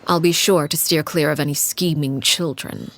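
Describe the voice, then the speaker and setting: A woman voicing a cold, commanding matriarch who rules an orphan household, in video game dialogue. She speaks in a calm, measured and quietly menacing way. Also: low voice, slight background noise. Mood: cool menace.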